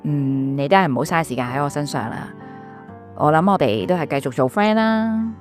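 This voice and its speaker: An actress with a Hong Kong accent playing a friendly, polite young woman in calm, casual radio drama dialogue sprinkled with English words. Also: deep voice, a little fast, soft background music. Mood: gently apologetic.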